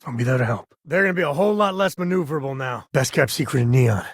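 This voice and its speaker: An actor playing an easygoing, wry space cowboy and single father in a video game, husky and rough-edged with a folksy, conversational manner. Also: mid-range voice, lively intonation, quick pace, dry recording, studio quality. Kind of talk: video game character dialogue.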